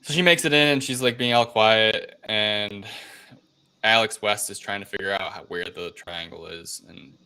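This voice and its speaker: A man co-hosting a casual film review chat, recounting things in a halting, rambling way. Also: lowish voice, pushed and bright, clean tone. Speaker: podcast co-host.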